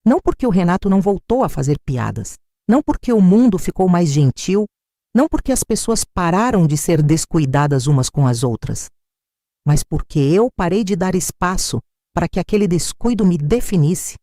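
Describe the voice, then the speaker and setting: A woman with a Brazilian accent narrating a scripted first-person story in a measured, reflective manner. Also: on the low side, animated, warm tone, dry recording, clean studio sound.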